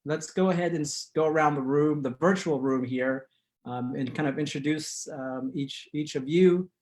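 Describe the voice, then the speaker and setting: A man hosting an online community talk show from his living room on a video call, speaking in a formal, measured way. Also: on the high side, darkish tone. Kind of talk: scripted-sounding show introduction and interviewing.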